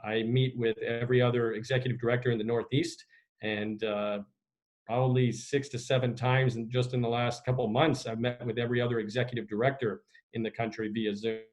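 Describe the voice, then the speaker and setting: A man speaking as an executive director answering media questions on a conference call, in a measured, formal, official way. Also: mid-range voice, flat delivery, a little mellow. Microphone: video conference call.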